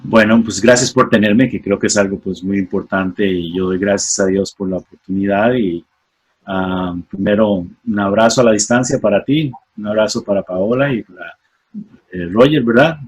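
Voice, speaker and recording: A man in his forties from Costa Rica with a Costa Rican accent, giving a reflective, unhurried testimony as a guest on a radio interview, with many pauses and fillers. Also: fairly low pitch, breathy edge, rough recording. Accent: Costa Rican Spanish. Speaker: guest, former missionary.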